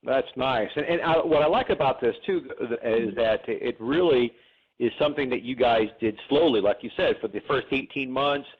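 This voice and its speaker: A male radio host with an average-pitched voice, friendly and a little repetitive as he gets going. His voice sounds narrow and phone-like. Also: normal speed, breathy edge. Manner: friendly, repeats words when starting. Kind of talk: radio interview hosting. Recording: phone-quality radio audio.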